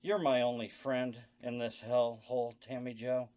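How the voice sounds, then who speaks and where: An actor voicing a crude, tormented slasher killer at a table read, menacing and then desperate. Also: male voice, mid-range voice, little pitch movement, moderate pace, darkish tone, close to an everyday voice.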